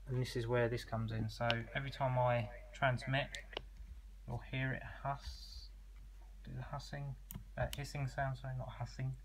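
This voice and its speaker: A man's voice: medium pitch, flat delivery, normal speed, background noise. A man giving an informal product demonstration as an online seller, explaining in a conversational, slightly hesitant way.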